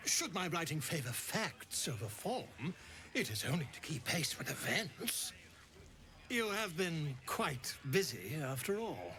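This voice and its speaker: A man's voice: fairly high pitch, very animated, measured pace, bright, forceful tone, a little hiss or hum, exaggerated voice. An actor playing a vain, pompous man of letters in a game, with florid, self-important delivery. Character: pompous, vain, eloquent male writer.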